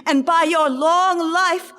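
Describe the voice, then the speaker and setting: An actress playing a gushing female swindler in a classical stage farce, effusive with overdone theatrical flattery. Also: extremely high pitch, slow pace, long reverb tail, very noisy.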